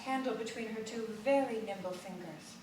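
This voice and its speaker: Female stage reader, higher register, deliberate theatrical narration, very reverberant noisy hall. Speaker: stage reader in a live ensemble reading. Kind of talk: dramatic literary narration, read aloud live on stage. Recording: echoey hall from far off, noisy.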